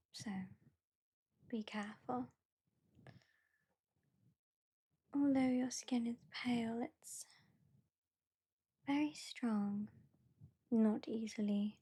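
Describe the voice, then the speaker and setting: An aloof British vampire hypnotist, played by a woman in an ASMR roleplay. Her voice is mellow and whispery, a little high for a woman. She talks very slowly and deliberately, with a dry, dismissive edge. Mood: calm, proud. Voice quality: soft, breathy, whispery. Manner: slow, deliberate, lightly dismissive.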